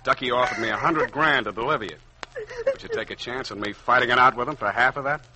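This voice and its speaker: An actor playing a wry, cool, hard-boiled private detective with slangy delivery, in 1940s radio drama style. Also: male voice, medium pitch, huge pitch swings, fast, a crowd in the background, low-quality recording. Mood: cool, guarded. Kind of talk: 1940s radio acting, noir narration.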